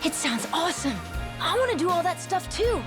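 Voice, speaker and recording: A bubbly young would-be pirate in a game cutscene. The voice is high and very animated, full of earnest eagerness, with music playing behind it. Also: female voice, fairly quick. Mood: eager. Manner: excitable, earnest. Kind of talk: video game cutscene acting.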